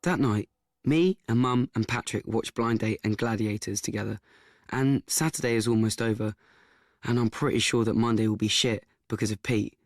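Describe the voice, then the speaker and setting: An actor playing an awkward, anxious teenage son, narrating and talking in a radio play. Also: male voice, on the low side, normal speed, no room sound, studio quality, lightly acted. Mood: confiding.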